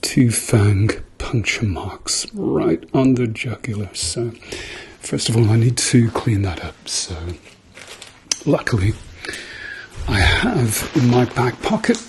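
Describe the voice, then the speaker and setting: A man doing a soft-spoken, often whispered ASMR roleplay as a gentle, reassuring doctor with a dryly playful manner. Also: mid-range voice, lively intonation, slow, noisy background. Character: calm, reassuring male doctor treating a patient.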